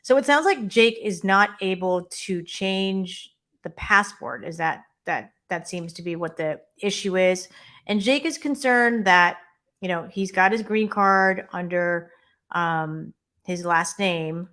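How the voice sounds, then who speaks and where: A woman co-hosting a live immigration Q&A stream, chatting and giving quick practical tips. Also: fairly low pitch, fairly bright tone. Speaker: immigration lawyer co-host.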